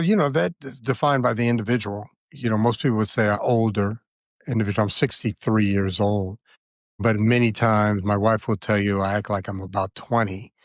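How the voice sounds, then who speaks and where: A man's voice: on the low side, no room sound, clean studio sound. Earnest man in his sixties. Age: 60s. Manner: earnest, measured, with frequent fillers. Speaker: podcast guest. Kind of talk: reflective conversational interview.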